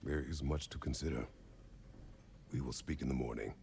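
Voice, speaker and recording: A man playing a stern, weary, protective older warrior father in a video game, gravelly, terse and grave. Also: rumbling low, steady tone, normal speed, over music. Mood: grave, guarded. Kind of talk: dramatic video game acting.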